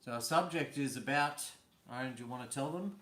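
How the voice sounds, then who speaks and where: A man's voice: medium pitch, fairly quick, a bit bright, roomy sound. A man hosting a casual live stream from home, chatty and teasing, laughing easily. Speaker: vlog host. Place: indoors at home.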